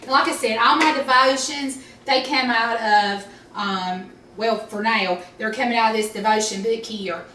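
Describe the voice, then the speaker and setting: Bright-voiced woman chatting casually. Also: on the high side, normal speed, hardly any pauses. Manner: chatty, rambling with fillers. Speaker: devotion speaker. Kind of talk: casual devotional talk. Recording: roomy, distant mic, faint hiss.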